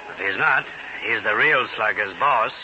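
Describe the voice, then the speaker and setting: An actor playing a guarded, bitter underworld informant in an old radio crime drama, curt and wary. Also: male voice, fairly low pitch, normal speed, loud music behind the voice, natural voice. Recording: hissy, muffled old radio recording.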